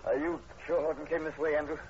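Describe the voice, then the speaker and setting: An actor playing a terse, commanding police sergeant in old radio horror, authoritative and no-nonsense. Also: male voice, a little high, little pitch movement, fast talker, a little mellow, natural voice. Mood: urgent. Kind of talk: 1940s radio horror acting. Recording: muffled, faintly hissy old radio recording.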